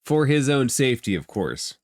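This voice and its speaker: A man's voice: mid-range voice, sing-song, moderate pace, darkish tone, no echo. A man working as game master, narrating a tabletop game off the cuff in a conversational, explanatory way.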